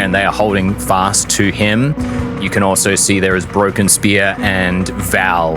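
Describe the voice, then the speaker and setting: A man narrating a tabletop role-playing game as game master, in a dramatic, deliberate storytelling manner. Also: sits low.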